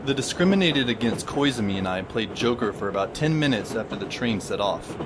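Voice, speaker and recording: A man narrating an audio drama as a wry, deadpan teenage boy. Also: fairly low pitch, brisk, background noise, close to an everyday voice.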